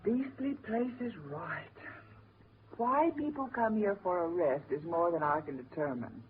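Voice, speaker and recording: A woman's voice: lowish voice, lively intonation, a little slow. A woman's voice a bored, fretful society lady. She is weary and peevish in a period radio drama style. The old recording is tinny and faintly noisy. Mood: weary, complaining. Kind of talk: 1940s radio drama acting.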